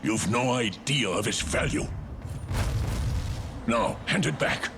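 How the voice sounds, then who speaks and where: A man's voice: medium pitch, expressive, slow, noisy background. A man playing a stern, haughty older king in a fantasy game, imperious and formal. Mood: demanding. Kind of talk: dramatic fantasy game acting.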